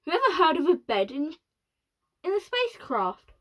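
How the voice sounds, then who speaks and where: A woman voicing a rude, rasping male president who snaps and barks at people. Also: very high voice, very animated, normal speed, pitched above the performer's own voice. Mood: irate. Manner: snappish, barking.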